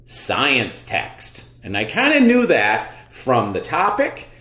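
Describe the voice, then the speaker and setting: Man, elementary teacher, highly varied pitch, unhurried pace, expressive explaining, read-aloud lesson style, roomy echo. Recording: echoey room sound.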